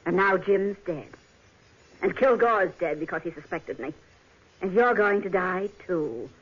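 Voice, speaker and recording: An actress playing a bitter, jealous, murderous wife in a 1950s radio drama, with a hard, sneering, melodramatic delivery. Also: female voice, fairly low pitch, lively intonation, a little slow, husky. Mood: bitter, menacing. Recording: muffled 1950s radio recording.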